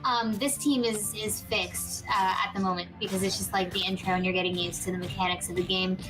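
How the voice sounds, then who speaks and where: A woman's voice: mid-range voice, steady tone, a little fast, low-quality recording. A woman co-hosting a gaming show, giving casual commentary on gameplay. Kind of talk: casual game commentary chat. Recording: music playing behind the voice. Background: music.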